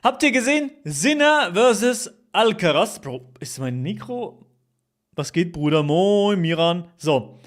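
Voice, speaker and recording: A young man with a voice a little higher than most men's. He chats loosely with his stream audience, joking, swearing and repeating himself. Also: expressive, measured pace. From Switzerland. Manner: chatty, jokey, repeats himself, swears at chat. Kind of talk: casual livestream chat with viewers.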